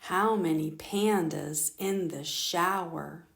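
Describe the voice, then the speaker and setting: A woman's voice: lowish voice, creaky. A woman librarian reading picture books aloud to preschoolers, stopping to ask the children questions. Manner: gentle, deliberate storytime delivery.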